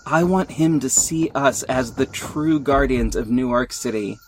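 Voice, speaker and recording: A man's voice: mid-range voice, measured pace, slight background noise, poor audio. A man giving scripted first-person narration as the lead of an audio drama.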